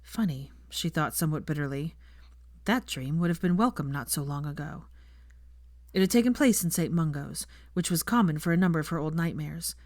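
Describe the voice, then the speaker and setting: A woman narrates fiction from a script. Her voice sits a little low for a woman. The recording is dry, with hardly any room sound. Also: expressive, fairly quick, a little mellow, dry recording.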